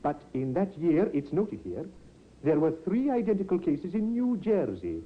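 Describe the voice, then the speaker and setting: An actor playing a grave, convinced police inspector in a 1950s radio drama, speaking in a measured, musing way. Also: male voice, on the high side, big pitch swings. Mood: grimly convinced. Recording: muffled, phone-like 1950s radio recording with noise.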